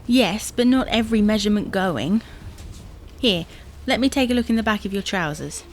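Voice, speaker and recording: An actress playing a bossy, exasperated, teasing wife in a domestic comedy audio drama, brisk and dismissive. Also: female voice, mid-range voice, lively intonation, slight background noise, natural voice.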